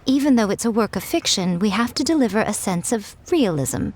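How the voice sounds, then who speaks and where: A woman's voice: mid-range voice, expressive, a little fast, natural voice. A woman voicing a sly, confident kitsune publisher in an anime game, poised, teasing and theatrical.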